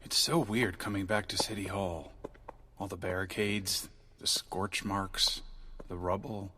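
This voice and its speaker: An actor playing a wry, chatty sidekick with a theatrical streak in an audio drama. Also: male voice, low-pitched, fairly even intonation, a little slow, pushed and bright, slight background noise.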